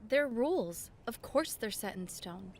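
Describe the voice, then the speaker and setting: A woman's voice: fairly high pitch, lively intonation, a little slow, slight background noise. A woman playing a friendly, earnest young heroine in a fan dub of an anime-style game. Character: friendly, earnest young heroine Skuld, a serious rule-follower. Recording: faint music behind.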